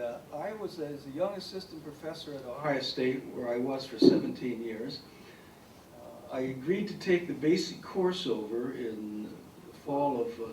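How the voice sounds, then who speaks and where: A retired professor in his later years speaks with a raspy, hoarse voice. He rambles through stories full of fillers. The sound is echoey and comes from across the room. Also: medium pitch, darkish tone, recorded from a distance, a little hiss or hum. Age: older. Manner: rambling anecdotes with frequent fillers. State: hoarse from bronchitis. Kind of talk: speaking at a farewell event. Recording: echoey hall recording from afar.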